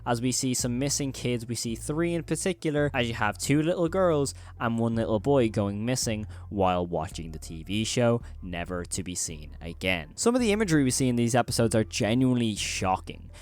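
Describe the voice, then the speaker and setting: A man's voice: mid-range voice, lively intonation, brisk, few pauses. Man giving emphatic horror commentary. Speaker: video host.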